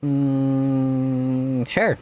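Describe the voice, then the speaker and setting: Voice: mid-range voice, monotone, drawn-out words, noisy background. A video game streamer giving casual, informal gameplay commentary, with reactive asides and dialogue choices read aloud.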